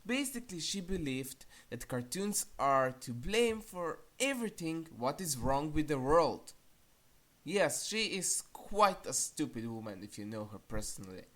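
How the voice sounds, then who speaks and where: Low-voiced woman reviewer, slow and blunt. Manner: blunt, sarcastic, casually crude. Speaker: video reviewer. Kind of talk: opinionated countdown review narration. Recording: music behind the voice. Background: music.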